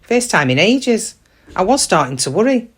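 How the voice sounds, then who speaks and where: A wistful, middle-aged female shop cashier played by an actress confides in the listener in a dramatic monologue. She is plain-spoken and reflective, with a downtrodden air and a mid-pitched voice. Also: sing-song, normal speed, breathy edge. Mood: reflective, hopeful. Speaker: actor in a monologue. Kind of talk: first-person dramatic monologue.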